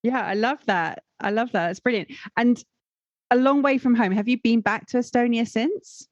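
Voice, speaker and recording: A woman's voice: on the high side, normal speed, no echo. Slightly muffled woman podcast host. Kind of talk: conversational interviewing.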